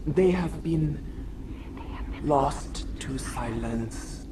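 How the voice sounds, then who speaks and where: Very slow, distant alien queen. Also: low voice, noisy background. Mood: grave, pleading. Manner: halting, formal, poetic phrasing. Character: ancient female insect alien queen speaking through a host, solemn. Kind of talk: video game acting.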